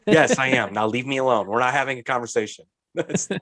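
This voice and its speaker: A man's voice: mid-range voice, brisk, fairly bright tone, no room sound. A man in his late thirties with a head cold, talking bluntly and offhandedly as a podcast co-host. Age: 30s. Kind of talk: casual chat.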